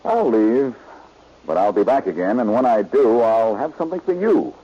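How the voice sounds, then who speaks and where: An actor playing a dogged, wisecracking amateur detective in a brisk, wry 1940s radio drama style. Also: male voice, medium pitch, lively intonation, natural voice. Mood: confrontational. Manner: brisk, wry, pressing questioner. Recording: noisy, muffled old radio recording.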